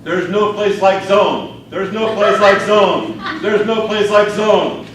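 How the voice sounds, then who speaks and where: A man's voice: high-pitched, fairly even intonation, slow pace, fairly bright tone, voice pushed up. Man playing a grandiose, emphatic doctor, very echoey. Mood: commanding. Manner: declamatory, emphatic. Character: bossy male television doctor, confident and grandiose helper. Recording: distant, echoey, noisy live room recording.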